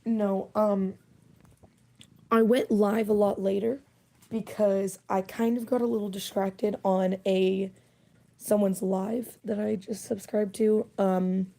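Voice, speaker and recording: Woman streamer, hoarse and losing her voice, creaky, unhurried delivery, giggly casual chat. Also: mid-range voice, little pitch movement, mellow. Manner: rambling, giggly, full of fillers. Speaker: livestreamer.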